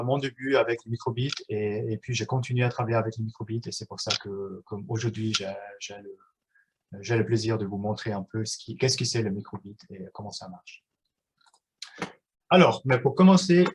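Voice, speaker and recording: A man's voice: mid-range voice, husky. Hesitant man presenting, full of fillers. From German-speaking Switzerland. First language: German. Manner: halting, many "euh" fillers and restarts. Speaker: researcher giving an online workshop. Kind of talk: live online presentation.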